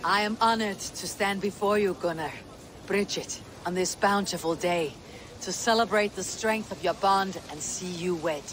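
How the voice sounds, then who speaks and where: An actress playing a steady, commanding female Viking chieftain in a video game, speaking in a measured, stately way. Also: lowish voice, a little hiss or hum, close to an everyday voice. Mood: solemn. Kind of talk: dramatic video game acting.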